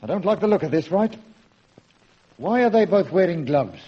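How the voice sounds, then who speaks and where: A stern, sceptical police inspector in a radio detective play. His voice is fairly high for a man, husky and soft-edged, with a methodical air of command, on a dull old recording. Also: big pitch swings, full character voice. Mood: sceptical, authoritative. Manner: brisk, authoritative, methodical. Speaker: actor in a radio detective drama. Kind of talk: radio drama acting.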